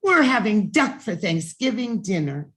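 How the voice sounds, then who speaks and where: A woman's voice: medium pitch, big pitch swings, unhurried, a bit bright, pitched above the performer's own voice. A woman voicing an earnest, insistent little schoolgirl in a children's storybook. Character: eager, earnest little schoolgirl fretting over the holiday.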